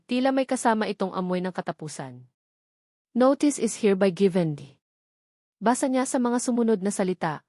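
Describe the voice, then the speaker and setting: A woman's voice: medium pitch, a little mellow, no echo. Filipino woman narrating dramatically, dry studio sound. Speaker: story narrator. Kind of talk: dramatic scripted story narration.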